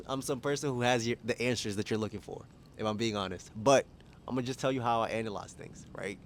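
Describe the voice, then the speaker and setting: A man's voice: medium pitch, slight background noise. Candid young man musing aloud outdoors. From Kenya. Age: 20s. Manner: candid, musing, laughs at himself. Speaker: vlogger. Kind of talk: casual reflective monologue. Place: outdoors at dusk.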